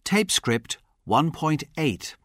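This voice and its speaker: A man narrating a language course, announcing track numbers in a formal, measured way. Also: fairly high pitch, sing-song, a bit bright, dry recording, studio quality. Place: dry studio.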